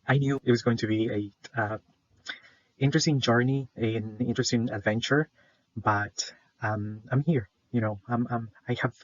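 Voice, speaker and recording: A man's voice: mid-range voice, no echo. Hesitant young man from El Salvador. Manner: hesitant, many fillers, shy. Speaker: student presenter. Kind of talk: class presentation. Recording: dull lo-fi dry sound.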